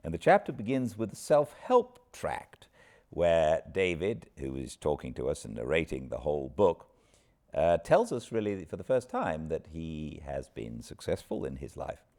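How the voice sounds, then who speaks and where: A British man hosting an informal book recap with an expressive storyteller's delivery. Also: rumbling low, moderate pace. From Britain. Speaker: host, audiobook narrator.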